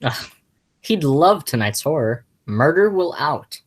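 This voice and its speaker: Young woman playing a frantic, stammering nephew, swooping pitch. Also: very animated, slow, slightly rough, heavily acted. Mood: distracted, then shocked. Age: teenager. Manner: stammering, exclamatory. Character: agitated nephew, a young man, increasingly horrified. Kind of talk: high school radio play acting.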